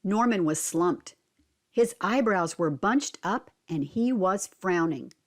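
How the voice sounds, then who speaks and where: A woman's voice: on the low side, expressive, slow pace. A woman reading a picture book aloud to children, explaining simply and asking guiding questions. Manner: simple, explanatory, addressing young listeners. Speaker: read-aloud narrator.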